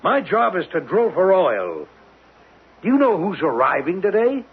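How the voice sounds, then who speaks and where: An American actor in a 1970s radio drama, playing a blustering, sarcastic, exasperated oil drilling boss with a gravelly, rough-edged voice. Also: male voice, high-pitched, very animated, measured pace, exaggerated voice. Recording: tinny narrowband 1970s radio recording.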